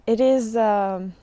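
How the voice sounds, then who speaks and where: A woman giving a casual, unscripted spiritual monologue in a reflective, halting way, often trailing into pauses. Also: on the high side, mellow, no echo. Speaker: spiritual teacher vlogger.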